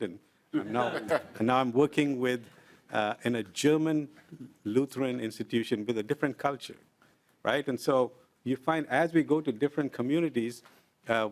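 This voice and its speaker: A middle-aged man testifying before a legislative committee. He speaks in an earnest, deliberate way and pauses often with "uh". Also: medium pitch. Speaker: invited presenter at a legislative committee. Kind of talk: formal testimony, explaining with examples. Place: legislative committee hearing room.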